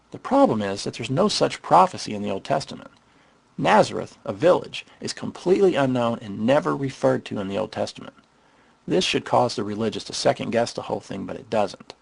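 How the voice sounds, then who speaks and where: Gravelly, low-voiced sarcastic man. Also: no room sound, rough recording. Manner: sarcastic, mocking. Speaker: narrator of a skeptical commentary on the Bible. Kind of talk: scripted commentary, casual and irreverent.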